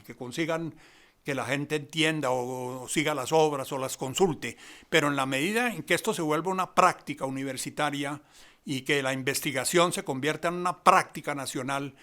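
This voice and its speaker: A man's voice: a little high, bright, forceful tone. A man giving a reflective spoken analysis in a didactic, emphatic way. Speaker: interviewed theatre expert.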